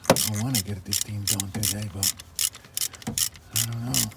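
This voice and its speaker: Male DIY repair vlogger, low register, casual and muttery, noisy camera audio. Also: fairly even intonation, a little slow, vocal fry. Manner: informal, muttering to himself while working. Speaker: vlogger, tradesman doing a DIY truck repair. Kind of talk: casual hands-on repair vlog. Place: at a vehicle being repaired.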